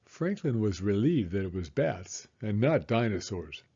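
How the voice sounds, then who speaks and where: A man reading a children's storybook aloud in an expressive, deliberate storytelling manner. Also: lowish voice, slightly rough, highs cut off. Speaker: storybook reader. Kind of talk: children's read-aloud narration.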